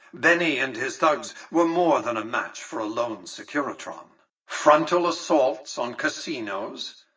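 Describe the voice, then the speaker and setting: An imperious, calculating casino magnate for a video game. His mid-pitched voice is husky yet sharp, with measured, articulate phrasing. He sounds pompous and condescending, every clause carefully weighed. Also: recorded from a distance, full character voice, rough recording. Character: imperious, calculating, aristocratic male casino tycoon and mastermind. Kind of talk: theatrical video game character dialogue.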